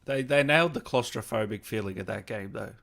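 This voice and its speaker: A man hosting a relaxed gaming interview, chatting casually with a guest and viewers. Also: on the low side, a little fast, vocal fry. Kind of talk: casual interview chat, reading viewer comments.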